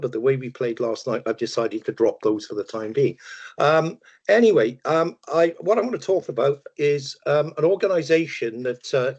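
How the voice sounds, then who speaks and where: An older man with a Welsh accent gives a relaxed webinar talk, joking at his own expense and filling pauses with ums and uhs. His voice sits at a middling pitch. The video call audio is a bit muffled. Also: breathy edge. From Wales. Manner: relaxed, chatty, self-deprecating humour with many ums and uhs. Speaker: webinar presenter. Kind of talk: presentation over a video call. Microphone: video call microphone.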